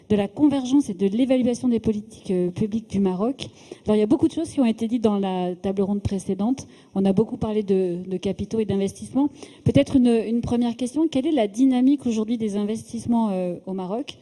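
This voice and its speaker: A woman's voice: medium pitch, mellow. Woman asking polite, hesitant questions. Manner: polite, hesitant phrasing with fillers. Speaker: interviewer, editor. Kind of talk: formal interview questions. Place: forum stage in Marseille.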